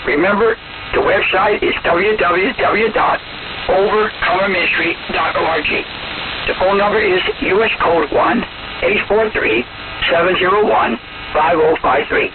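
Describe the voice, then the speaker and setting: A man preaching on the radio, deliberately giving out contact details and asking listeners to respond. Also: sits high. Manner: deliberate, spelling things out slowly. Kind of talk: religious broadcast appeal, spelling out contact details. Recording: hissy, narrow-band shortwave radio with heavy noise. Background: loud radio hiss.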